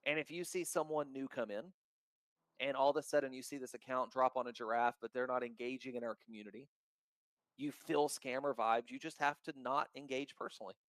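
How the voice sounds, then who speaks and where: A loud, outspoken man talks bluntly in a casual chat and swears freely. His voice sits in an ordinary middle pitch. The sound is dry and close, with no room echo. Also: fairly even intonation, a little fast. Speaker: crypto token founder.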